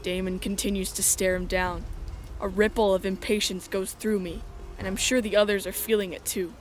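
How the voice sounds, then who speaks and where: A woman's voice: mid-range voice, level tone, moderate pace, a little hiss or hum. A woman reading scripted first-person story narration as the narrator of a drama.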